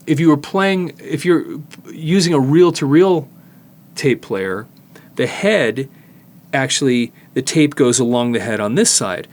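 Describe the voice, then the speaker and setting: An older man giving a casual hands-on demonstration, explaining haltingly and thinking aloud as he works. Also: mid-range voice. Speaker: host, tape digitizing technician. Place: studio, indoors.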